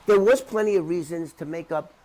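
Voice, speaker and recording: A middle-aged man talking unscripted to the camera in an emphatic, repetitive, halting way as he rebuts claims. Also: fairly high pitch, darkish tone, low-quality recording. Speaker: interview commentator debunking someone's claims.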